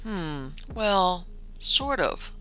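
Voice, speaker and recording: A man's voice: high voice, sing-song, very slow, bright, forceful tone, clear, resonant tone, soft background music, heavily acted. Man voicing a cooing, coquettish, scheming mother. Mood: teasing, seductive. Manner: cooing, trilling, coaxing. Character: coquettish, scheming, doting mother luring men to doom. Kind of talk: dramatised storytelling.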